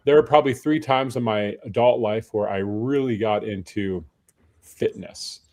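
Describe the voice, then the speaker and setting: Tired, hoarse man chatting casually. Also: lowish voice, moderate pace, a little mellow. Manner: chatty and self-deprecating, with frequent restarts. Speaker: panel guest, personal trainer. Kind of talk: casual panel conversation.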